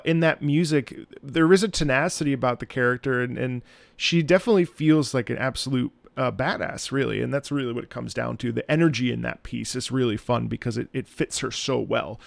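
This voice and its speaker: Man, creaky mid-pitched voice, casual reaction commentary, enthusiastic, effusive. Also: dry recording. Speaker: reaction video host; opera singer and voice actor.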